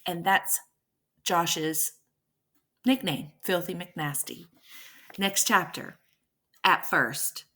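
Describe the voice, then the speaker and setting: A woman's voice: fairly low pitch, drawn-out words, pauses often, a bit bright. A woman teacher reading a verse story aloud with rhythmic, emphatic delivery and brief explanations.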